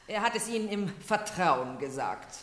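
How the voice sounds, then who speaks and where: An actress playing an insistent, excitable marchioness, urgent and emphatic, in 1970s German radio drama style. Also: female voice, mid-range voice, normal speed, natural voice. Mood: urgent reassurance. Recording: echoing, reverberant hall sound.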